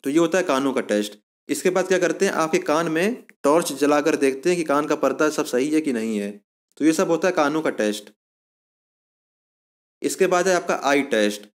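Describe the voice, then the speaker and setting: A man's voice: a little high, normal speed. A man giving an informal explanatory lesson as an exam coaching presenter, going step by step and repeating himself to recap.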